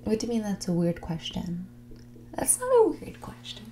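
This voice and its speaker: Woman acting out a creaky, whispering rich mean girl. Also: medium pitch, wildly swooping pitch, moderate pace, a little hiss or hum. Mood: prying, condescending. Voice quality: breathy whispers mixed with soft creaky speech. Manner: condescending, drawling, catty, blunt questioning. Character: mean, snobbish rich young woman on a date. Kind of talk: ASMR roleplay, whispered and soft-spoken.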